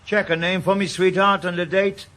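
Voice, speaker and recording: A man's voice: high voice, little pitch movement, normal speed, natural voice. A man playing a wisecracking retired ex-detective with a Welsh accent in a 1980s radio drama. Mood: wry. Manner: wisecracking, bluffing. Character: shabby retired ex-detective, wry and pushy. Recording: faint hiss of old radio broadcast.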